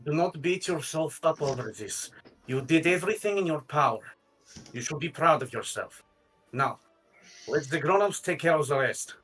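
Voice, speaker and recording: A man voicing a stern, protective hobgoblin warrior in a put-on accent somewhere between Romanian and French, speaking slowly and formally. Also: on the high side, a bit bright, soft background music, lightly acted, poor audio. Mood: reassuring. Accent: put-on Eastern European accent, drifting French. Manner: slow, formal, solemn.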